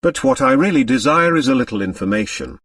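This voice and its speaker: A man's voice: medium pitch, animated, fast, fairly bright tone, recorded from a distance. A man voicing a pompous, self-important French police commissary, formal and officiously courteous, in an audiobook.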